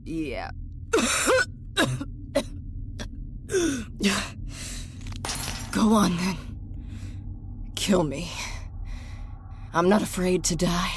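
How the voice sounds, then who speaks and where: An actress playing an injured, bitter enemy soldier in an audio drama, defiant but rattled, halting and sardonic. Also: female voice, on the low side, noisy background.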